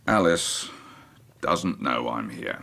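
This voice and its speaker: A bitter, ailing old man in a radio play. The voice is extremely deep and creaky, gruff and weary, with heavy swells and falls as it deliberately lays out each phrase. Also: expressive, bright, forceful tone, heavily acted. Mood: gruff, guarded. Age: older. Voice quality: gravelly. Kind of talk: radio drama acting.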